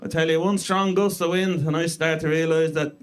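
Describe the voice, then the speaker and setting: Irish stand-up in his thirties, slightly raised pitch, dry crude self-mockery. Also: fairly quick, clear, resonant tone. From Ireland. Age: 30s. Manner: deadpan self-mocking delivery with crude punchlines. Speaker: stand-up comedian. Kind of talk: stand-up set. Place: comedy club stage before a live audience.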